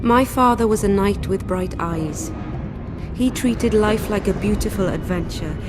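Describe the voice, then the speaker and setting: Actress as earnest rebel commander woman over loud music. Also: medium pitch, unhurried, lightly acted. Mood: earnest confiding. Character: proud young female rebel commander, secretly a dragon, idealistic. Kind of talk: dramatic fantasy game acting. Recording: loud background music under dialogue.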